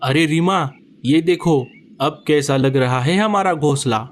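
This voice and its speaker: A man's voice: on the high side, a little slow, close to an everyday voice. A man voicing a polite, grateful male bird. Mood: friendly. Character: friendly male bird building a nest. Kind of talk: cartoon story voice acting.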